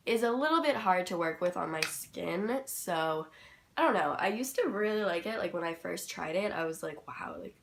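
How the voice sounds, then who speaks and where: A sleepy young woman with a slightly raspy, lowish voice talks through her makeup routine in her bedroom. She rambles casually, filling gaps with "like" and "um", sounding low on energy. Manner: chatty, rambling, full of "like" and "um". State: tired, just woken up. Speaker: beauty vlogger. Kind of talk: casual makeup tutorial chat.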